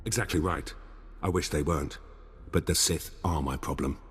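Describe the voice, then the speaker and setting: A ruthless yet honourable military spymaster for a video game. His voice is very low and rough-edged. He talks in a grave, resigned way, with long reverb around him. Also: moderate pace, heavily acted. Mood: wary, businesslike. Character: gruff male army captain turned spy handler, ruthless but honourable.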